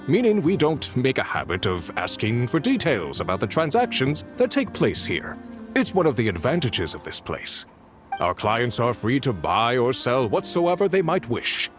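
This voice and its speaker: Game role: smooth-talking shady desk clerk, hugely swooping delivery, excitable, obliging. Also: male voice, mid-range voice. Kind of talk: video game voice acting.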